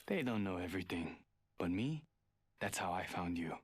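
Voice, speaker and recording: Cold, low-voiced hacker fighter. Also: male voice, lively intonation, normal speed, no echo. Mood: cold, condescending. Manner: terse, superior, serious. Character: guarded, arrogant male hacker fighter, coldly menacing. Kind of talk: video game character voice lines.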